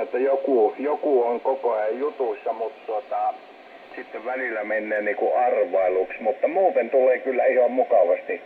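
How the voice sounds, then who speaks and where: A man chatting casually as an amateur radio operator over shortwave, rambling and repeating his words. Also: mid-range voice. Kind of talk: casual ham radio contact. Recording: tinny shortwave radio transmission, heavy static. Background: loud radio static.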